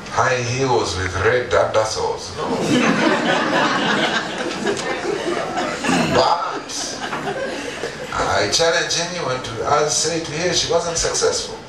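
A middle-aged man, an entrepreneur, giving an earnest, emphatic stage talk with pauses and restarts on a headset mic. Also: a little high. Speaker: entrepreneur guest speaker. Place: event stage with audience. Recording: echoey room, distant, background noise.

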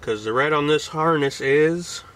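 A man's voice: a little high, unhurried, fairly bright tone. A man in his forties giving a casual hands-on install tutorial, recorded on a camera mic inside a parked car. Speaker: DIY car vlogger. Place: inside a parked car outdoors, door open.

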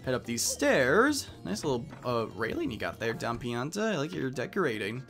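A man's voice: medium pitch, very animated, moderate pace, vocal fry, a bit bright, faint music behind. A man giving casual commentary as he plays through a game. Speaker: let's player.

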